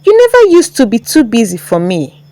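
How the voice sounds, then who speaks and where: A woman voicing a showy, excitable young woman in love, in a story. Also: on the high side, moderate pace, dry recording. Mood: hurt.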